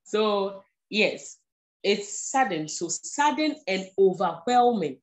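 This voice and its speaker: Slow-speaking Ghanaian woman explaining patiently. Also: medium pitch, animated. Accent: Ghanaian English. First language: not English. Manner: patient, explanatory, repeats key points, often checks in with "okay". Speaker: guest speaker on mental health. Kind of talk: live-streamed explanatory talk.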